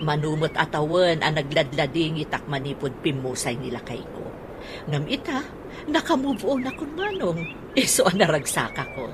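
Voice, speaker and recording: A woman acting a cheerful, lovestruck older widowed aunt in an Ilocano radio comedy drama, with a giggly, animated manner. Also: deep voice, moderate pace. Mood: cheerful, smitten. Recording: radio drama with clear background noise.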